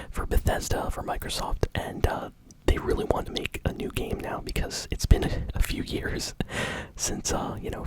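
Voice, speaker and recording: An ASMR host shares gaming news entirely in a soft whisper, with no voiced tone. The phrasing is informal and a little hesitant, yet keen and enthusiastic. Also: rough recording. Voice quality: soft unvoiced whisper throughout.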